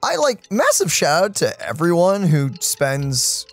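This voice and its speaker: Casting: male let's play host, casual and exclamatory, very lively intonation, faint game music behind. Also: a little high, unhurried. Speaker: video game streamer.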